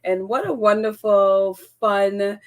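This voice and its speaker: A woman hosting a casual solo podcast, her voice croaky and congested from a cold, chatty with frequent laughs and ums. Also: mid-range voice, slow. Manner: chatty, giggly, lots of ums. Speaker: podcast host.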